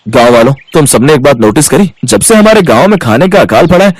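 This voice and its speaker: A man's voice: fairly high pitch, lively intonation, fast, dry recording. A man voicing an aggrieved, grumbling village farmer in storybook dialogue. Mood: suspicious.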